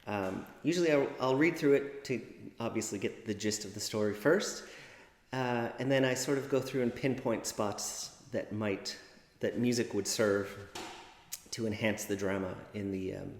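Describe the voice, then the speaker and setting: A man's voice: on the low side, moderate pace. A man speaking casually in an interview as a composer, describing how he works. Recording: echoey room with long reverb.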